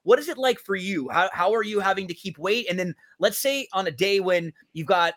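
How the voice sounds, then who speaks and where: A man's voice: sits high, expressive, a little fast, fairly bright tone. A man hosting a sports interview show in a conversational, chummy way. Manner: chummy, addresses guest as buddy.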